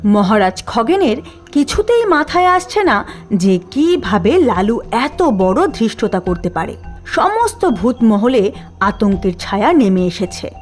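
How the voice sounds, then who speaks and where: A woman narrating a cartoon story and reading out listener names and a quiz question in a deliberate, scripted manner. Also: a little high, big pitch swings, soft background music. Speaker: narrator and channel host.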